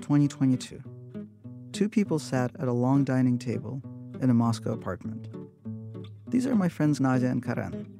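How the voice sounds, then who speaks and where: A narrator from Russia telling a story in a measured, matter-of-fact way. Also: male voice, medium pitch, vocal fry. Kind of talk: scripted radio narration.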